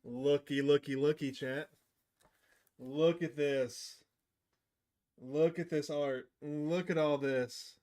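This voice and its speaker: A man's voice: on the high side, fairly even intonation, slow, pauses often, mellow. A man hosting a gaming livestream, chatting casually and enthusiastically with his viewers. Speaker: livestream host. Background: game music.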